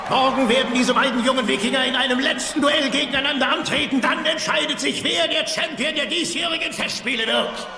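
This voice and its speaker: An actor playing a loud Viking chief who proclaims festival games in a cartoon audio drama. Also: male voice, very high voice, fairly quick, few pauses, slight background noise, full character voice. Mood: proclaiming. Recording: echoey hall, music and crowd behind.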